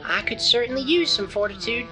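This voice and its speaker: A woman's voice: a little high, lively intonation, brisk, natural voice. A woman voicing a small, timid, fretful friend in a children's cartoon, plaintive and theatrically woeful. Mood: meekly hopeful. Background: prominent background music.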